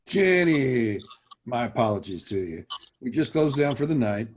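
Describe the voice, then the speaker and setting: Male reader as a soft-spoken older saloon keeper, in his sixties, lilting and apologetic. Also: mid-range voice, sing-song, moderate pace, a little hiss or hum, close to an everyday voice. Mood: gentle. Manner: gentle, apologetic. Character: kind 62-year-old saloon keeper.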